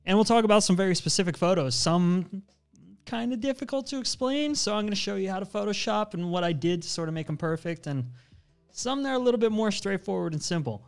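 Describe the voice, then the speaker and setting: A young man hosting a casual live photo-editing stream, upbeat and slangy, chatting with viewers on a boom-mounted studio mic in a small home studio. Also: lively intonation, fast, creaky, clear, resonant tone, soft background music. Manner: upbeat, slangy, chatty, reads chat aloud. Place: home studio with brick wall, indoors. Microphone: studio mic on boom arm, headphones.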